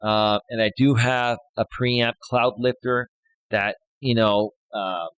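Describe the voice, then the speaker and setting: A man in his thirties with a loud voice, hosting a casual live stream as a life coach, chatty and full of fillers, often tagging on "right?". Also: medium pitch, steady tone, slow, clean tone, dry recording, poor audio. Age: 30s. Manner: chatty, filler-heavy, keeps adding "right?". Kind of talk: casual live-stream talk, reading chat posts. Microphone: studio dynamic microphone.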